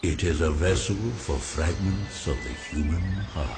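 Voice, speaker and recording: An actor playing an ancient talking oak tree, wise, kindly but stern, speaking in a grave, formal way in an animated fantasy game. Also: male voice, sits low, over loud music. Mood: solemn, benevolent. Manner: slow, grave, formal and deliberate.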